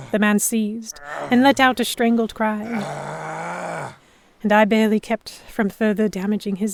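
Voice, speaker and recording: A woman narrating in first person as a composed captive in a historical pirate audio drama, with measured, dramatic storytelling. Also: mid-range voice, darkish tone, slight background noise, natural voice. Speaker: narrator and lead actor.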